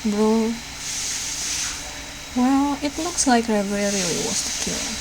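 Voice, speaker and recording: A woman's voice: fairly high pitch, measured pace. A woman doing a let's play, reading visual novel dialogue aloud with casual asides in a raw home recording. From Indonesia. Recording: clear background noise, raw home recording.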